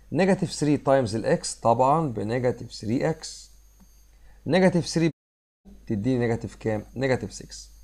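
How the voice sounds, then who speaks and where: A man with an Egyptian Arabic accent, a little hoarse from a cold, talks quickly through a math lesson. His voice is mellow and dark, and he explains each step while mixing English math terms into his Arabic. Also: mid-range voice. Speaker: math teacher. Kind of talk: screen-recorded math lesson. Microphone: voice-over on a screen recording.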